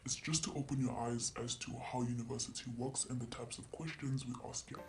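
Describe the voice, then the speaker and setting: A woman putting on the voice of a male university lecturer, speaking in a flat, dry lecturing way. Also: very deep voice, brisk, slight background noise, pitched below the performer's own voice. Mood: matter-of-fact. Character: male university lecturer, calm and matter-of-fact.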